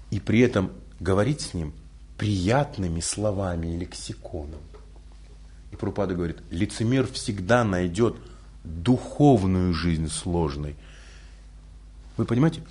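A man who is not a native Russian speaker giving a spiritual lecture, full of rhetorical questions and lively storytelling. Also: mid-range voice. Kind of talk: recorded religious lecture.

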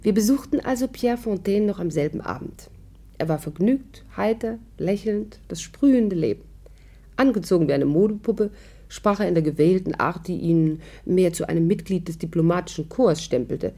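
A woman's voice: fairly low pitch, lively intonation, moderate pace, warm tone. An actress playing a witty, persuasive worldly woman with a playful, wry manner, in a 1950s radio drama. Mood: wry narration. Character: witty, persuasive, worldly female adventurer telling her story. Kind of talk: 1950s German radio drama acting and narration.

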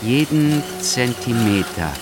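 A man acting as a meticulous car-factory worker who narrates a scripted audio drama in a precise, deliberate manner. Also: mid-range voice, lively intonation, over music. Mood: methodical, absorbed.

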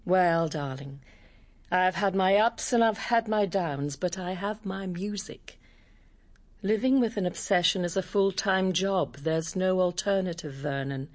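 An actress playing a worldly, frank bohemian singer in a radio drama, with a British accent and a wry, direct manner. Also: female voice, lowish voice, a little slow, natural voice. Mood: worldly, urging.

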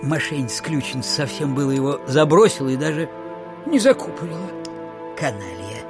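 A stingy old landowner in a theatrical audio drama. He mutters and fusses suspiciously, his pitch leaping and sinking as he grumbles. Faint music sits behind him. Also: lively intonation, brisk. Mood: fussy, grumbling. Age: older. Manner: muttering, fussy, exclamatory, drifting into grumbles.

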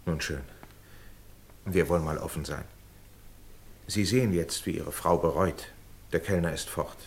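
An actor playing a dignified, conciliatory gentleman in a radio play, measured, earnest and persuasive. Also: low-pitched. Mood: calming, persuasive. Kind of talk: formal German radio play acting. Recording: radio drama with audible background noise.

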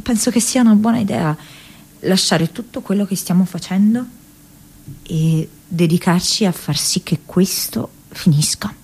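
An actress playing an earnest, distressed young woman activist in a radio monologue, impassioned and halting, repeating words as she goes. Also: fairly low pitch, darkish tone, husky, rough recording. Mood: frightened, weary. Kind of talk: dramatic radio monologue from diaries and letters.

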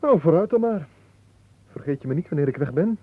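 An actor playing an earnest, slow-witted lovesick suitor in a radio play, hesitant, plaintive and easily hurt. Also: male voice, fairly high pitch, expressive, dry recording. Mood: dismayed. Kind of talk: Dutch radio play acting. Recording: muffled, noisy old radio recording.

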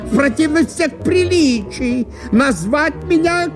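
A man playing a touchy, dignified gentleman in a radio drama, pompous, outraged and declamatory. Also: very high-pitched, expressive, slow, loud music behind the voice, pitched above the performer's own voice, heavily acted. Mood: indignant. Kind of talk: theatrical radio monologue.

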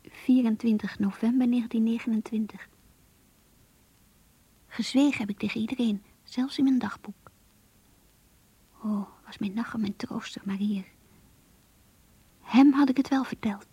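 An actress in a radio play voicing an earnest, introspective young woman who confides in her diary in an intimate, breathy voice. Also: on the high side, fairly even intonation, unhurried, long pauses, dark tone, no room sound, full character voice, low-quality recording. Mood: wistful, troubled. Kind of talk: radio drama diary monologue.

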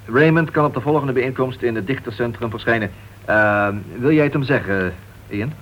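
A man's voice: mid-range voice, moderate pace, fairly bright tone, natural voice. An actor playing a formal, businesslike club chairman in a Dutch radio play. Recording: dull, noisy old radio recording.

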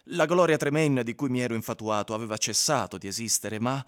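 An actor playing an infatuated, brooding husband in a radio drama, with an introspective, literary way of talking. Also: male voice, medium pitch, fairly quick, lightly acted. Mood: brooding, wistful. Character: infatuated, brooding husband recalling his obsession. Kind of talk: radio drama monologue and dialogue.

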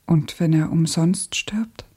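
Breathy, anguished woman revolutionary. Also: sits low, slow, mellow, exaggerated voice. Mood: anguished, despairing. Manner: intense, dramatic, often dropping to a whisper. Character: anguished, grieving young woman revolutionary, bitterly disillusioned. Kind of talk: German radio play acting.

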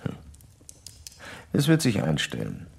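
An actor playing a detached, authoritative analyst doctor in a radio drama, speaking in formal case-report language. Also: male voice, rumbling low, huge pitch swings, slow, creaky, breathy edge, no echo, heavily acted. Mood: calm, authoritative.

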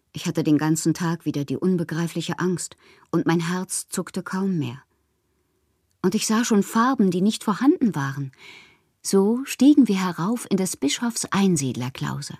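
Radio play casting: a moody, fanciful woman poet with a lowish voice, theatrical and expressive, reading intimate letters. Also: breathy edge, no echo, studio quality. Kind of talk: literary radio drama, dramatic letter reading.

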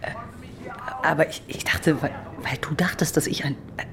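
A woman's voice: low voice, moderate pace, in a whisper, natural voice. A woman playing a wary young female pirate who passes as a man in a German audio drama, speaking hesitantly and stammering. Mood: flustered, hesitant. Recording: music under the voice.

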